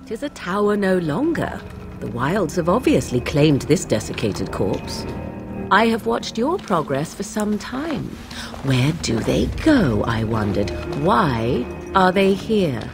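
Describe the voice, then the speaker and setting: A haughty young witch of the wilds, speaks in archaic, mocking phrases for a fantasy game. Her delivery is slow and swoops in pitch, with music clearly behind it. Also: big pitch swings, full character voice. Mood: cool, probing. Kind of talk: theatrical fantasy game acting.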